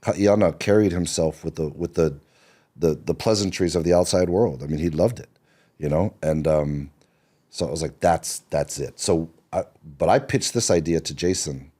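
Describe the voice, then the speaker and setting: A middle-aged man from Seattle, here as a screenwriter on a podcast, with an exceptionally low voice. His tone is dark and mellow. He tells his story in a relaxed, rambling way, full of fillers and restarts. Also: normal speed. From Seattle, Washington.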